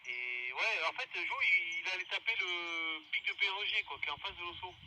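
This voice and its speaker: A man's voice: high-pitched, normal speed, bright, forceful tone. A man in a casual, rambling radio chat, talking in an easygoing, slangy way with some mild swearing. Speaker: amateur radio operator. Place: outdoors in the mountains. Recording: very noisy outdoor radio recording.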